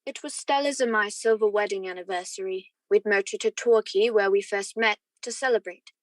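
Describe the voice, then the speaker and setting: A woman's voice: medium pitch, brisk, no room sound, close to an everyday voice. Woman as reflective narrator, dry close sound. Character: reflective middle-aged husband narrating his memories. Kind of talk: first-person radio drama narration.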